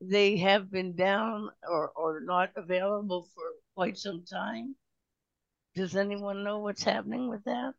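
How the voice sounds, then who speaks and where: Male, high-pitched, casual discussion participant with halting delivery; dull, dry recording. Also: fairly even intonation, creaky, a bit bright, dry recording. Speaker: participant in an old-time radio discussion group.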